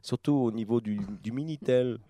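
Male co-host, lowish mellow voice, dry sound, jokey rambling banter. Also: normal speed, no room sound. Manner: joking, rambling with fillers. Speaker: radio host. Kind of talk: casual radio banter.

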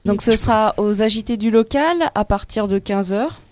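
A woman's voice: mid-range voice, normal speed, darkish tone, slight background noise. A woman from an arts association explaining an open day in an interview. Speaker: association member. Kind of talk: interview answer.

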